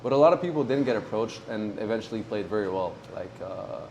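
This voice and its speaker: A man in his twenties from Morocco, a pro tennis player talking casually in an interview, relaxed and halting with many fillers. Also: on the low side, a little hiss or hum, rough recording. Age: 20s.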